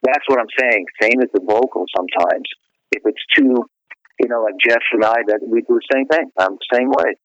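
A man's voice: medium pitch, fairly quick, a bit bright, no room sound. A middle-aged male singer being interviewed, chatting casually and rambling with lots of "you know" fillers and restarts. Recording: dry, dull lo-fi radio sound.

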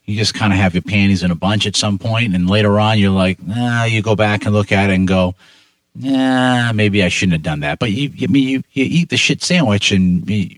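A man's voice: lowish voice, normal speed, dry recording. A man co-hosting a podcast, chatting in an easygoing, frank way with crude slang. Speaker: podcast co-host. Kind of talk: casual chat.

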